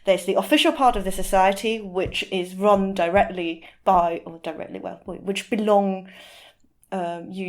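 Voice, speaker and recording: Searching, thoughtful woman in conversation. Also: fairly low pitch. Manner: hesitant, searching for words, often rephrasing. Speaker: podcast guest, literary translator. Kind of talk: casual interview discussion.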